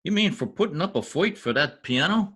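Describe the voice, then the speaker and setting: A man's voice: fairly high pitch, animated, fairly quick, sharp tone, slightly rough, heavily acted. A man playing a blustering, stubborn small-town husband in folksy, slangy old-time radio comedy style. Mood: proud, sheepish.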